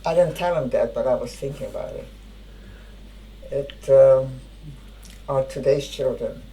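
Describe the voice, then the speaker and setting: An older woman, a native Hungarian speaker, giving spoken testimony in a halting way, often searching for words. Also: extremely low pitch, warm tone, rough recording. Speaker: interviewee.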